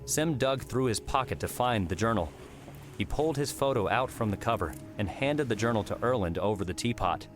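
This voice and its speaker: A man's voice: medium pitch, lively intonation, fairly quick. A man narrating a fiction audiobook, reading scripted story prose. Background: music.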